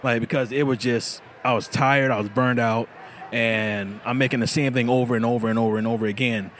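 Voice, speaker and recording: A man's voice: mid-range voice, fairly even intonation, brisk, fairly bright tone, slight background noise. A man who makes knives, talking casually as an interview guest and laughing often. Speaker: knife maker guest.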